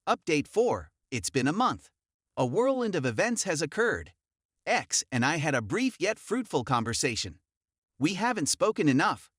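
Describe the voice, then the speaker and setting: A man reading an online forum story aloud in the first person, in an even storytelling manner. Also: on the high side, no room sound, studio quality. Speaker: narrator.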